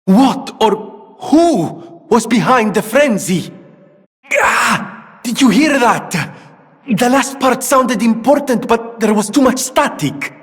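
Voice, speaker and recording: An actor playing an eccentric, earnest gentleman inventor in a video game, speaking in a theatrical, wordy way. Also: very high voice, lively intonation, slow pace, in a large hall, full character voice. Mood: urgent, excited. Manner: theatrical, verbose, exclamatory. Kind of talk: video game character dialogue.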